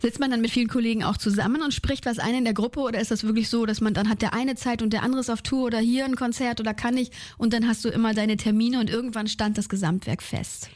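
A woman host runs the interview, firing off friendly questions. She talks fast in a slightly high voice. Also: steady tone, a little mellow. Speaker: radio host.

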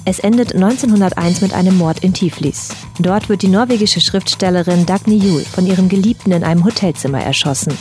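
A woman presenting a radio book segment in an even, composed, scripted announcing style. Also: fairly low pitch, brisk, few pauses, soft background music.

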